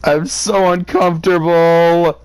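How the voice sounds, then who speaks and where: Clear-voiced man reading aloud with theatrical outrage. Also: on the high side, flat delivery, slow pace, no room sound, rough recording. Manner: theatrical, exclamatory, sarcastic asides. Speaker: reader reacting to a story. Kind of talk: live read-aloud with outraged commentary.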